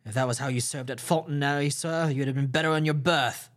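Man doing a stern sea captain, disciplined, clipped, measured, commanding, slightly low, audiobook. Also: fairly even intonation, lightly acted. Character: stern male ship's captain, stiff and disciplined. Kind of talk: audiobook character voice.

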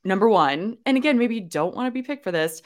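A woman's voice: medium pitch, lively intonation, quick pace, a little hiss or hum. A young woman co-hosting a casual podcast chat, talking loosely with many fillers and restarts. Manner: chatty, lots of fillers and restarts. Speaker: podcast co-host.

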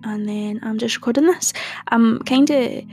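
A young woman hosts her podcast in a soft, calming voice with a gravelly, fry-laden edge. She chats loosely and rambles, dotting her talk with fillers, over faint music in the background. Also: fairly high pitch, normal speed. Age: young adult. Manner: chatty, rambling, many "um" and "like" fillers. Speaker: podcast host. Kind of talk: casual podcast chat.

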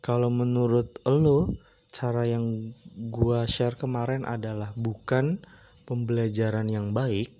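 A man hosts his own podcast alone, drifting through his thoughts in a loose, slangy way and pausing often to search for words. His voice sits at an ordinary pitch with a dark, mellow tone. The recording sounds a little dull and lo-fi. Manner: relaxed, rambling, slangy, with frequent hesitations. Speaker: podcast host. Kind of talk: casual solo podcast chat.

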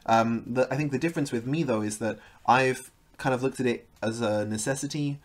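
A man hosts a casual interview with a voice of average pitch. He reflects aloud in a measured way and sometimes hesitates as he works through his thoughts. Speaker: podcast host.

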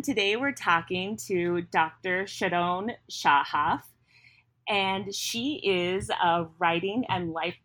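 A woman's voice: medium pitch, a bit bright. A woman hosting an interview, asking questions in a friendly and easygoing way with some fillers. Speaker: podcast host and interviewer.

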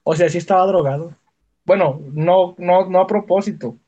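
Young Mexican man, high-pitched, slangy. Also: moderate pace, mellow. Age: young adult. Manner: slangy, swears casually. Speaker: podcast co-host. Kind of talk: casual podcast chat, personal storytelling. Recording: dull lo-fi voice audio.

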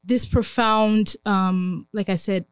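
A woman's voice: medium pitch, fairly even intonation, takes it slowly, clean tone, dry recording. A young woman in her twenties hosting a casual podcast, talking in an earnest, reflective way with many fillers. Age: 20s. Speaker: podcast host.

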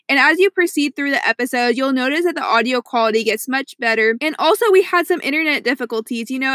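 A woman's voice: high voice, pushed and bright, no room sound, clean studio sound. A woman hosting a podcast, speaking in an informal, conversational way. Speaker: podcast host. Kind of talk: podcast introduction and casual interview.

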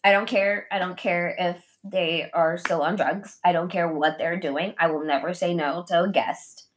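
Blunt, sweary, animated woman podcast host. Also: fairly low pitch, normal speed. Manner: animated, acting out imagined dialogue. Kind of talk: casual, candid conversation.

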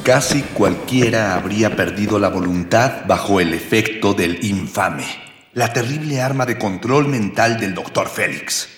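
An actor playing a grandiloquent spirit companion who narrates a radio serial in old-fashioned literary language. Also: male voice, fairly low pitch, a little slow, natural voice. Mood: solemn drama. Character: grandiloquent male unseen spirit companion of the hero. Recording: echoey long reverb over music.

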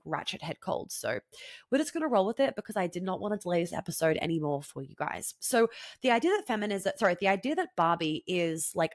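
A young woman talks straight into a dry, close podcast mic, her voice a little low and stuffy from a head cold. She is blunt and animated and swears freely. Casual solo chat from a host talking to her listeners. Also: no room sound.

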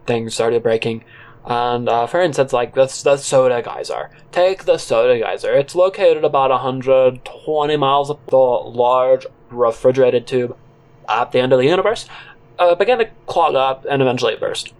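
A man playing a disgruntled former fast-food worker, rambling with halting, deadpan earnestness in an audio drama monologue. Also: medium pitch, natural voice. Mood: earnest, aggrieved. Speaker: narrator and character in a solo audio drama.

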